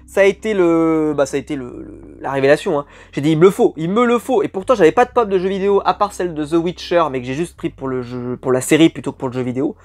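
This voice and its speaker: A man chatting to camera as a collectibles vlog host, enthusiastic and rambling, with many hesitant fillers. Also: high voice. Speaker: YouTube collector channel host. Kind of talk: casual unboxing chat to camera.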